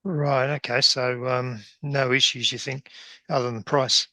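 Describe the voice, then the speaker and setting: A man's voice: medium pitch, level tone, unhurried, sharp tone, no room sound. A male analyst putting questions on an investor call in tentative, broken phrases.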